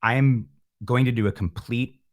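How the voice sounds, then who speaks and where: A man speaking casually as an interview guest, with an animated, emphatic and playful way of talking. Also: medium pitch, measured pace. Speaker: podcast guest, musician.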